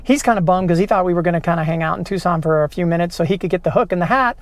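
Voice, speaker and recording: A man's voice: high voice, rapid-fire, dry recording, studio quality. A middle-aged American man vlogging casually, with an informal, slangy, joking way of talking. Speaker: vlog host and field herper. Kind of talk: casual vlog chat.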